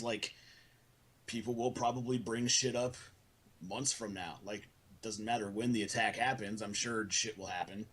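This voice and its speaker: A man's voice: medium pitch, fairly bright tone, breathy edge, poor audio. A man speaking bluntly, with plenty of swearing, in an argumentative casual group conversation. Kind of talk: heated group voice call argument.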